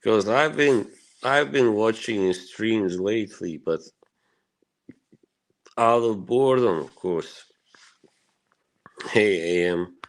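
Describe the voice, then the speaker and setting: A man from Bosnia talks to his stream chat in a loose, rambling way. He swears freely and fills his talk with "you know" and little laughs. His voice sits very low and he speaks at an unhurried, slow pace.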